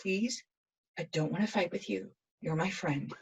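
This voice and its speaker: A woman's voice: fairly low pitch, moderate pace, a bit bright, highs cut off. A woman playing a resolute young rebel assassin from a dystopian future in an audio drama, speaking in an earnest, intense way. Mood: pleading.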